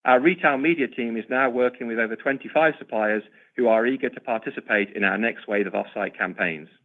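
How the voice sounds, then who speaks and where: A man speaking as a company executive, giving formal, measured prepared business remarks. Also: medium pitch. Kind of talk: prepared corporate earnings remarks. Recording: narrow, tinny conference-call line.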